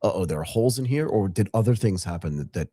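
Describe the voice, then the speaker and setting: Male podcast host, lowish husky voice, teasing and quick to interject. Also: expressive, mellow, no room sound, studio quality. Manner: joking, frequent short interjections. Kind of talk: casual interview conversation.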